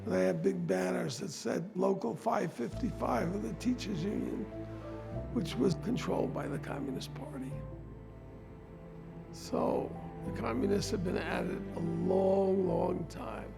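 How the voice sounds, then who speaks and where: Gravelly, high-voiced older man. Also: unhurried. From New York, USA. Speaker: interviewee. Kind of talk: documentary interview recollection. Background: background music.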